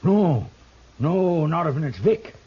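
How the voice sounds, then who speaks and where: A man's voice: a little high, big pitch swings, takes it slowly, slightly rough, full character voice. An actor playing a frightened, stammering old drunkard father with a folksy way of talking, in a 1950s radio western. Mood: frightened, pleading. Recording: muffled old radio recording.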